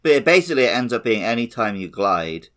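A man's voice: medium pitch, sing-song, brisk, fairly bright tone. A man co-hosting a podcast, talking casually and explaining parts of a video game. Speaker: podcast co-host.

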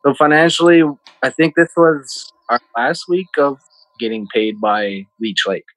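A man's voice: medium pitch, expressive, unhurried, fairly bright tone, no room sound, poor audio. A man in his thirties from Minnesota, giving casual, conversational answers as an interview guest. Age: 30s. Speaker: interview guest, casino team supervisor.

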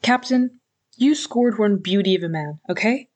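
Loud, teasing locker-room jock, put on by a woman reader, pitched up and energetic. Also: normal speed, close to an everyday voice. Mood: excited, teasing. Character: rowdy cheerful male hockey teammate.

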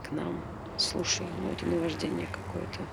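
A woman's voice: on the low side, little pitch movement, brisk, gravelly. Actress as brittle grieving woman, noisy background. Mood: numb grief. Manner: clipped short phrases, repeats herself. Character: grieving woman, brittle, distracted, insisting all is fine. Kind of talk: naturalistic stage drama acting.

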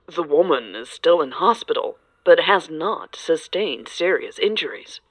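A woman's voice: deep voice, animated, unhurried, husky, no room sound, heavily acted, low-quality recording. A woman playing a serious, formal news reporter reading a scripted report in an audio drama. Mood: grave, formal. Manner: formal, grave.

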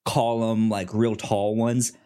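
A man co-hosting a movie podcast in a casual, chatty way, quick to laugh. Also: lowish voice, flat delivery, dark tone, smooth. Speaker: podcast co-host.

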